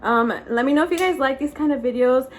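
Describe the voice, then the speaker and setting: A young woman hosts in a casual, conversational way. Her voice sits fairly high and has a little rasp, with noticeable noise behind it. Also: quick pace. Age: young adult. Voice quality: slightly raspy. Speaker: host, vlogger. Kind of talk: casual chat.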